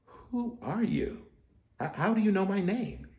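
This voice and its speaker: A man's voice: fairly low pitch, very animated, a little slow, darkish tone, reverberant. A man voicing a timid, awkward lonely man who speaks meekly and hesitantly. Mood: fearful. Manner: hesitant, meek.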